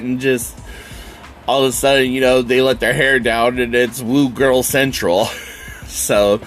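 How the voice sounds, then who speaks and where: Male podcast host, stuffed-up and nasal from a cold, unhurried delivery, chatty and rambling with loose fillers and the odd swear. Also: mid-range voice, a bit bright. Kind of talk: casual solo podcast chat.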